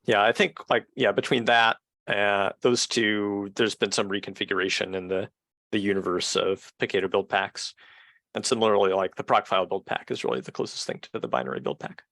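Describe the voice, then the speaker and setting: Creaky lowish man explaining technical details. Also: fairly even intonation, fairly quick, a bit bright, dry recording. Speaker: software engineer on a working group call. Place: room at home, video call. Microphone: headset.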